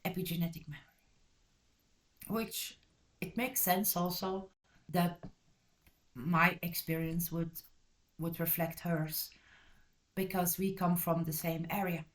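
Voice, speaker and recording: A woman's voice: fairly low pitch, fairly even intonation, slow, long pauses. Rambling, self-correcting woman from Belgium. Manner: blunt and direct. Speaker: channel host reviewing a book. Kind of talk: casual book review.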